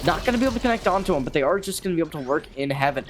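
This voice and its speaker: Youngish-pitched guy doing esports analysis, kinda high voice, chatty n opinionated, clicky noisy audio. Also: lively intonation, racing. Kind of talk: live esports analysis commentary.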